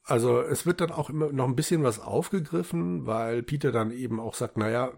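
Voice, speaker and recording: Gravelly, husky man in podcast chat. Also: mid-range voice, a little fast, a little mellow. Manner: appreciative, quotes characters' lines while retelling. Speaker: podcast co-host. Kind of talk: casual discussion retelling an audio drama.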